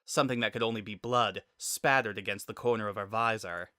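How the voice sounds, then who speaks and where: A man's voice: fairly low pitch, brisk, pushed and bright, no room sound, studio quality. A man narrating a scripted sci-fi story as a dramatic reading.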